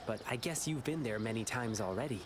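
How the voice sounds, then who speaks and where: A man's voice: medium pitch, expressive, fairly quick, natural voice. A man voicing an earnest, kindly youthful lion dancer in a video game, polite and encouraging. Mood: earnest, thoughtful. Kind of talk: English game voice acting.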